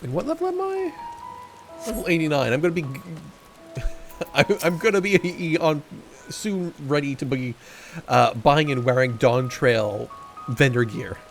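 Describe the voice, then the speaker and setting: Animated man streaming a game. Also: on the high side, unhurried, creaky, pauses often, soft background music. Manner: reads game dialogue aloud, joking asides. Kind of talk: casual let's-play commentary.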